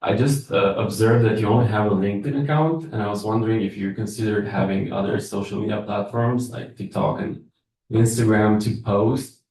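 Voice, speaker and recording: Audience man, lowish voice, rambling suggestions, distant in a roomy hall. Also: steady tone, fairly quick, a little mellow. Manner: rambling with fillers. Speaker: audience member. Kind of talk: giving feedback at a pitch event.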